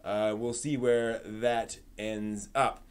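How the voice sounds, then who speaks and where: A man's voice: on the low side, flat delivery, slow, smooth. A man hosting a live stream in a conversational way, moving between news rundown and casual asides to his viewers.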